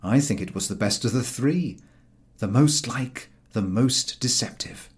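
A man reading a comic story aloud as scripted audiobook narration, in an expressive storytelling style. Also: mid-range voice, unhurried. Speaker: audiobook reader.

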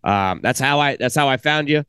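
A man's voice: medium pitch, animated, moderate pace, a bit bright, clean tone, no room sound, clean studio sound. A man hosting a casual podcast interview, talking enthusiastically and rambling with frequent restarts. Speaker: podcast host.

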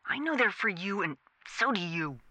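A woman's voice: medium pitch, expressive, moderate pace, pushed and bright, no echo. An actress playing a harsh woman office manager who scolds an operator in a cold, clipped way. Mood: stern.

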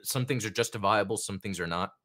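A man's voice: lowish voice, fast, bright, forceful tone. A man hosting a casual hobby talk show, rambling with lots of fillers and restarts. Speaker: podcast host.

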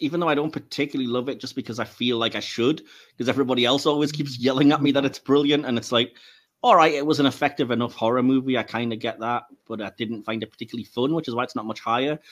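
A man's voice: mid-range voice, fairly bright tone. The man steering a round of friends through a chatty podcast countdown. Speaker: podcast host. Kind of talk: casual panel chat.

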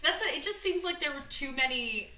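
Loud, laughing woman podcaster. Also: high voice, expressive, brisk, reverberant. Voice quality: naturally loud voice. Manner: laughs often, rambling with many "like" fillers. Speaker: podcast host. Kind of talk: casual movie review chat.

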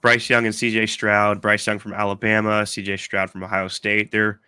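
A man's voice: lowish voice, little pitch movement, moderate pace, sharp tone, clear, resonant tone, no room sound, studio quality. Congested man hosting a sports podcast. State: congested from allergies. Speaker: podcast host. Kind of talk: casual sports chat.

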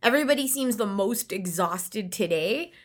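A woman's voice: medium pitch, lively intonation, moderate pace. A woman co-hosting a podcast in casual chat, talking freely with frequent fillers and laughs. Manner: chatty, laughs often, many fillers. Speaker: podcast co-host.

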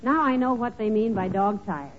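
An actress playing a sensible, kindly, homespun wife with dry humor in a 1940s radio comedy. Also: female voice, a little high, lively intonation, normal speed, natural voice. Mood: weary, practical. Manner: homespun, kindly, matter-of-fact. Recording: scratchy, tinny 1940s radio recording.

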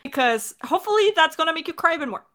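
A woman's voice: very high-pitched, expressive, rapid-fire, fairly bright tone. A woman co-hosting a casual creator Q&A, talking in an informal, slangy way with short interjections. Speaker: co-host, creator of an audio drama.

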